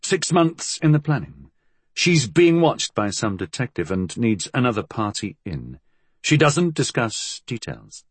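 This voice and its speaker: A man voicing a weary, reserved gentleman crook in an audiobook, speaking in a clipped, guarded, resigned way. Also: mid-range voice, very animated, unhurried, slightly rough, dry recording.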